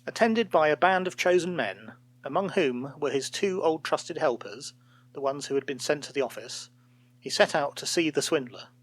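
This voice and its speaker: Pendongeng pria yang sangat ekspresif. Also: male voice, a little high, animated, normal speed. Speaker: storyteller. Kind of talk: scripted fairytale narration.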